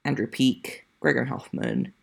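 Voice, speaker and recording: A man with a strongly creaky, gravelly voice talks casually as the host of a solo sports podcast. His accent is not American, and his delivery is chatty with dry, wry asides. He speaks at a middle pitch. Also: wildly swooping pitch, unhurried. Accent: non-American.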